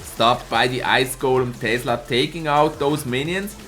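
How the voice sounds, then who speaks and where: A man's voice: medium pitch, a little slow, soft background music. A man with a slight cold commentating an esports match and chatting with viewers, laughing often. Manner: lively, laughs often, greets chat viewers by name. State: a bit hoarse from a cold. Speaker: esports caster and streamer. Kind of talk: live tournament commentary with chat replies.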